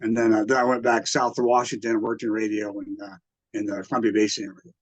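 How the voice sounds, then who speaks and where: An American man in his sixties reminiscing casually in an interview, with a halting delivery that often backtracks. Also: fairly low pitch, little pitch movement, dry recording, rough recording. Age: 60s. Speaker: radio collector and museum exhibitor, interviewee.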